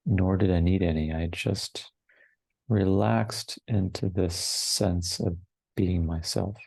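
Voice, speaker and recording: A man in his forties leading a guided meditation in a hushed, unhurried way, with long pauses between short phrases. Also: low voice, fairly even intonation, creaky, slightly rough, no room sound. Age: 40s. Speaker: meditation teacher. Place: online retreat over video call, dry close sound.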